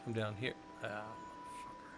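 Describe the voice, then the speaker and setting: A man's voice: fairly low pitch, little pitch movement, normal speed, over loud music. A man from the Southwest United States rambling and thinking aloud while teaching a casual live-stream tech tutorial, chatting with viewers as he works.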